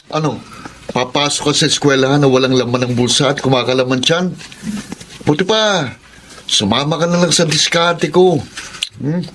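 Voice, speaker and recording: A man's voice: mid-range voice, fairly bright tone, slight background noise, lightly acted. A man playing a sly, coaxing street hustler in a radio drama, with a Filipino accent. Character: streetwise male hustler coaxing a boy into thieving. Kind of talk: Tagalog radio drama acting.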